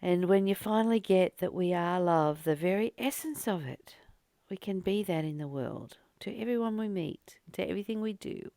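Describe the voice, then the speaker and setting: A woman's voice: fairly low pitch, moderate pace, dark tone, no room sound, studio quality. A woman reading a spiritual text and commenting on it as a teacher, earnest and exhorting, repeating phrases for emphasis.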